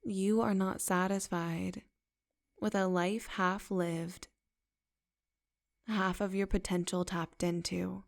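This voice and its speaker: A woman leading a guided meditation in a soft, unhurried, affirming way. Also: on the low side, steady tone, gravelly.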